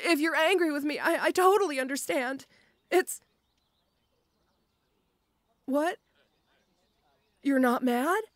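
Woman playing a high-voiced, shy tomboy orc girl. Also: dry recording. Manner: halting, timid, stammering, apologetic. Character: shy, awkward, muscular tomboy orc schoolgirl. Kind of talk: roleplay audio acting addressed to the listener.

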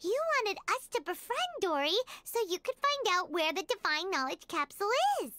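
Tiny, very high-pitched fairy companion. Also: female voice, moderate pace, dry recording, full character voice. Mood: eager, curious. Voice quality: childlike, squeaky. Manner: excitable, eager, nosy questioning. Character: tiny, chirpy, inquisitive female fairy companion. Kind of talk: scripted video game dialogue.

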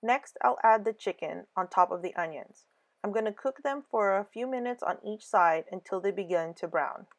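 A woman giving a scripted cooking voice-over, calm clear step-by-step instructions for a home recipe. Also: mid-range voice, normal speed. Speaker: cooking channel host.

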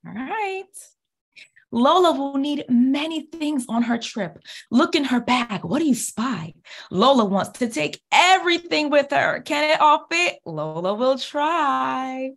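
Woman, 30s, American. Expressive, encouraging children's educator, higher pitch with creaky edges. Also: takes it slowly. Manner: clear, encouraging teacher talk, expressive. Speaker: speech pathologist and children's author. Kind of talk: children's read-aloud with questions. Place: small indoor office, on a video call.

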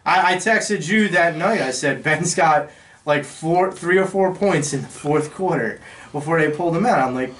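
A man's voice: fairly high pitch, normal speed, a bit bright. An American man casually discussing sports as a podcast panelist, recorded on a studio microphone. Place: small indoor podcast studio. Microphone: boom-arm studio microphone.

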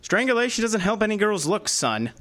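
An actor playing a flippant, slangy detective's assistant who narrates a hardboiled radio drama. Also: male voice, sits high, big pitch swings, brisk, a bit bright. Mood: brisk, wry. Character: wisecracking streetwise male detective's assistant, narrator.

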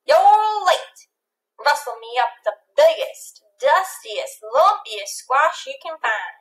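A spirited old fairy godmother of the Wild West , as a woman reader plays her, pitched high and bossy. She gives folksy cowgirl orders from a storybook, taking her time over them. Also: very animated, slow, heavily acted, low-quality recording. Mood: bossy, excited. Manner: folksy Western commands.

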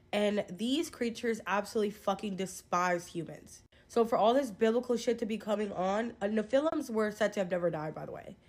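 A young woman, talking casually to her phone camera, emphatic, incredulous and swearing freely as she lays out a conspiracy theory. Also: mid-range voice. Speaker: social media commentator. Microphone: phone held close, selfie framing.

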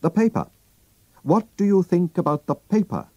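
A man voicing a calm, clever male detective who talks briskly as he reasons. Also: sits high, breathy edge, dry recording, lo-fi sound, voice pushed up. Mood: cool, knowing. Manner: brisk, deducing, lively.

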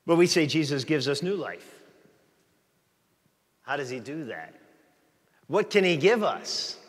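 Middle-aged male priest, fairly high voice, relaxed joking sermon delivery, long church reverb. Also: unhurried. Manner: chatty, joking with the congregation. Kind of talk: conversational sermon. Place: large church, walking the aisle before the altar.

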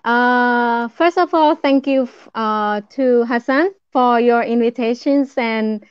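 A woman from Thailand introduces herself at a very slow, careful pace. Her voice is smooth and a little high, coming through a dry, muffled call line. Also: dry recording. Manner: careful, halting. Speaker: guest. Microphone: video call. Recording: dull lo-fi call audio.

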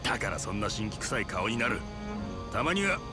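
Rugged, easygoing mentor. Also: male voice, fairly low pitch, big pitch swings, brisk. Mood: teasing. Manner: rough, familiar, casual. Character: rugged, easygoing middle-aged mercenary mentor. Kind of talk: anime-style game voice acting. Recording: music behind.

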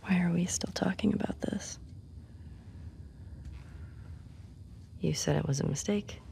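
A woman's voice: fairly low pitch, fairly quick, gravelly, dark tone, whispered. A woman playing a wry, guarded young American survivor in a video game, with a dry, casual delivery. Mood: guarded, uneasy. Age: young adult. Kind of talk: naturalistic video game acting.

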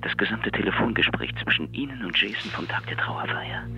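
A man's voice: on the low side, expressive, brisk, in a whisper, dull audio. An actor playing a grim, suspicious male FBI investigator with a pressing, guarded manner in an audio drama. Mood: accusing, low. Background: music under the voice.

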